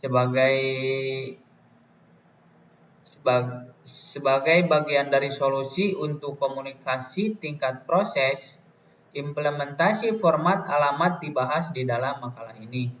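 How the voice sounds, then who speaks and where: A young man giving a student presentation from prepared notes in a halting manner. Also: mid-range voice, a bit bright. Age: young adult. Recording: dull, distant, roomy, faint noise.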